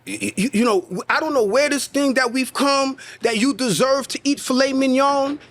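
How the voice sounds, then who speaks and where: Podcast co-host, man, high pitch, emphatic and repetitive. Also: moderate pace, fairly bright tone. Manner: emphatic, preachy, repeats phrases for stress. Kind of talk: casual podcast conversation.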